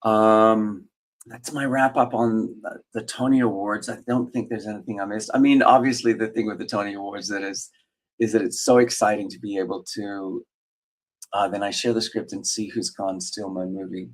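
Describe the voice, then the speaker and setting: A man's voice: on the low side, fairly even intonation, normal speed, rough recording. A middle-aged man from Australia, hosting a casual live chat and answering viewer comments with many fillers and restarts. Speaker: host.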